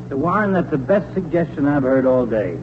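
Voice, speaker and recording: A man's voice: fairly high pitch, lively intonation, a little fast, close to an everyday voice. An actor playing an exasperated middle-aged father in a 1940s radio comedy. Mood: weary, impatient. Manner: exasperated, put-upon. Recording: tinny, noisy 1940s radio broadcast.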